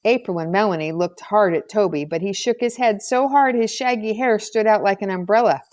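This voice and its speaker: A woman reading a children's novel aloud as scripted narration, in an expressive storybook manner. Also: mid-range voice, brisk. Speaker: audiobook reader.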